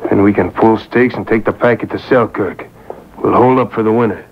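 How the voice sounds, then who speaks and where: A man's voice: fairly low pitch, steady tone, brisk, breathy edge. A man acting in an old-time radio drama as a confident, scheming outlaw, on a muffled, noisy taped broadcast.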